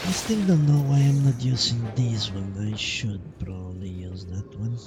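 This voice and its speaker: A man's voice: fairly low pitch, normal speed, music in the background. A man giving casual, resigned and self-deprecating live commentary as he plays a video game, swearing mildly now and then. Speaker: livestream gamer.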